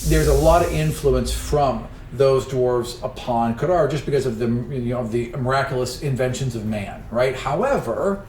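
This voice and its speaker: A man's voice: medium pitch, moderate pace, few pauses, darkish tone. Roomy, distant-miked man as game master. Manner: conversational, explanatory. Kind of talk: explaining tabletop game world and rules. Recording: roomy, distant mic with faint noise.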